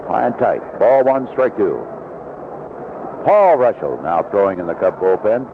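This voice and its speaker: A man does live baseball play-by-play for vintage radio. His medium-pitched voice moves up and down animatedly as he follows the game and reads promos. The broadcast is narrow and tinny, with clear noise around him. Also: sing-song, unhurried. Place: outdoor ballpark broadcast booth. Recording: band-limited vintage AM radio broadcast, noisy.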